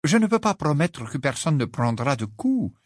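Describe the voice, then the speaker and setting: Man acting a grave, reassuring wizard, husky-voiced. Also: a little high, very animated, normal speed, no room sound, clean studio sound, pitched above the performer's own voice. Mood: firm, reassuring. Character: calm, grave, soothing but firm adult male wizard.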